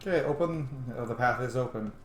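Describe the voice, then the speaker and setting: A man's voice: mid-range voice, a little fast. A man giving casual, slangy commentary as he plays a story game, often in short muttered reactions. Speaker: gamer playing through a story game. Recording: roomy sound, faint noise, game ambience.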